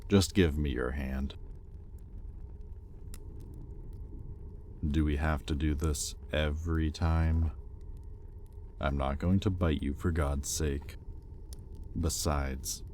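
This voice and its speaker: A man playing an exasperated, possessive demon master in an audio roleplay, sardonic yet grudgingly caring, speaking with pointed pauses. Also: a bass voice, fairly even intonation, darkish tone, a little hiss or hum. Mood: weary, teasing. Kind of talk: intimate audio roleplay, dramatic monologue.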